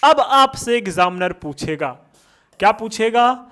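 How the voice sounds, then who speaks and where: A man's voice: high voice, lively intonation, a little slow, pushed and bright. A male teacher giving a physics lesson for exam students, asking questions and answering them himself.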